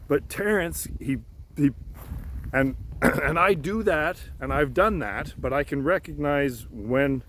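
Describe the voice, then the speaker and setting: A man in a halting, rambling unscripted monologue, frustrated and insistent, swearing and repeating himself. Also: on the high side. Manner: frustrated, insistent, profanity-laced; halting, repeats words and restarts. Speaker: amateur theorist making videos. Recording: noticeable background noise.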